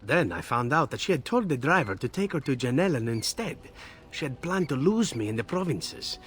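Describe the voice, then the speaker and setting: An actor playing a suave, roguish assassin in a video game, telling tales wryly and theatrically. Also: male voice, mid-range voice, big pitch swings, fairly quick, fairly bright tone. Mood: wry, nostalgic. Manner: theatrical, wry, lilting. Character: suave, roguish male elven assassin, wry storyteller. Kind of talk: video game character acting.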